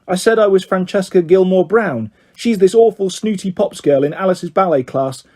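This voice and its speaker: A man playing a dramatic, high-voiced schoolgirl. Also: moderate pace, a little mellow. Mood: defiant, dramatic. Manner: plaintive, earnest, childishly dramatic. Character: emotional, dramatic young schoolgirl telling her best friend she misses her.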